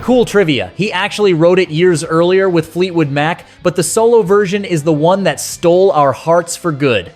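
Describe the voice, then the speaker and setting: A man with a slightly high voice hosts a scripted countdown. He tells it like a colloquial, nostalgic storyteller. Faint music plays behind his narration. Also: normal speed, few pauses. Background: soft rock music behind the voice.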